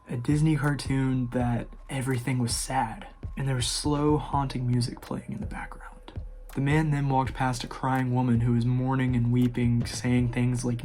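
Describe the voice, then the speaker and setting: A man's voice: mid-range voice, darkish tone. A man hosting a scary-story video, narrating informally and speaking directly to his viewers. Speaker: horror story YouTuber, host.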